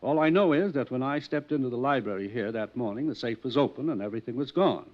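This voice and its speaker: An actor playing a wealthy, courteous mansion owner in a 1950s radio drama, speaking smoothly and guardedly. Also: male voice, medium pitch, expressive, quick pace, close to an everyday voice. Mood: polite. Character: wealthy polished mansion owner, male, middle-aged. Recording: dull old radio transcription.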